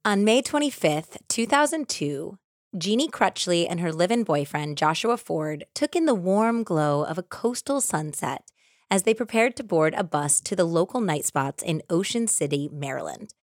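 A woman's voice: lowish voice, animated, moderate pace, dry recording, studio quality. A woman co-hosting a podcast, mixing casual chat with true-crime storytelling in a playful, teasing way. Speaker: podcast co-host.

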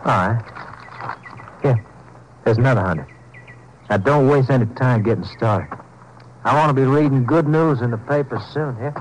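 An actor playing a slick, pushy criminal with a businesslike, wheedling manner in a 1950s radio drama. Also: male voice, mid-range voice, normal speed, pauses often, close to an everyday voice. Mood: satisfied, businesslike. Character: slick, pushy criminal schemer hiring a killer. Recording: noisy old 1950s radio recording.

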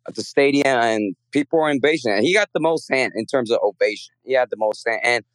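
Man, caller, losing his voice, halting, filler-heavy, smooth tone, dry close sound. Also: medium pitch, fairly bright tone, no room sound, poor audio. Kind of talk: call-in chat.

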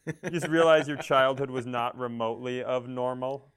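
Smooth-voiced man riffing on a comedy podcast. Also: medium pitch, level tone, moderate pace, a bit bright, rough recording. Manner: joking, rambling, self-deprecating. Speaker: podcast co-host. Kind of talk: casual comedic chat, riffing.

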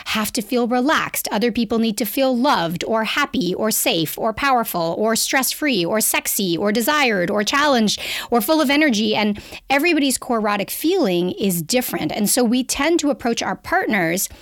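A woman from Canada with a Canadian accent, a guest expert explaining at length in a lively, talkative way and laughing easily. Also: fairly high pitch, normal speed, no room sound, clean studio sound. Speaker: guest sexologist. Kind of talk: podcast interview, explaining.

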